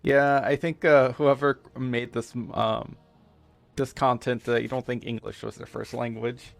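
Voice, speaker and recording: A man from the United States whose first language is Spanish, giving halting, filler-heavy casual commentary while streaming a game playthrough. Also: medium pitch, level tone, creaky, soft background music. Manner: halting, full of fillers and restarts. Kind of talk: casual let's-play commentary.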